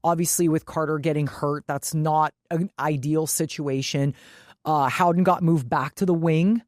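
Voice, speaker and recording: A woman's voice: low-pitched, fairly even intonation, moderate pace, darkish tone, dry recording, clean studio sound. A woman co-hosting a sports podcast, talking casually and emphatically with loose swearing. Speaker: podcast co-host.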